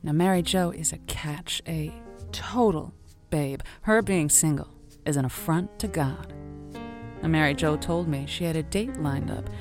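A late-night radio agony aunt, wry and theatrical, with a lowish voice over music. She spins her stories playfully for her listeners, as in an audio drama. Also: unhurried, darkish tone. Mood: wry storytelling. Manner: playful, wry, storytelling delivery. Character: wry, theatrical woman hosting a late-night lonely-hearts radio show. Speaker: radio call-in host. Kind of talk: semi-improvised audio drama monologue.

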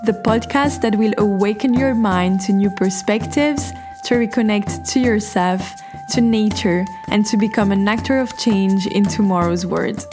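A woman hosting a podcast, reading a calm, reflective scripted narration. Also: medium pitch, moderate pace, over music. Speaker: podcast host.

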